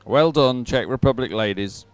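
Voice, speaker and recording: A man giving live sports commentary at a regatta in an informal, halting way with hesitant pauses. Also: medium pitch, smooth, no room sound.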